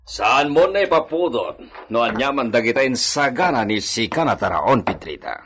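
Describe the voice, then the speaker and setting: A man's voice: medium pitch, very animated, normal speed, fairly bright tone, a little hiss or hum. A man playing a stern, lordly landowner in an Ilocano radio drama, speaking formally with Spanish words. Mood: weary, stern. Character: imperious Spanish-styled landowner, stern male master.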